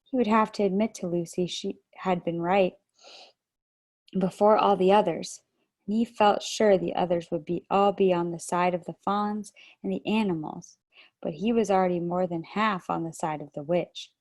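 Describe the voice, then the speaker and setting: A woman hosting a children's read-aloud over a video call, narrating a book with steady, gentle storytelling. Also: fairly low pitch, normal speed.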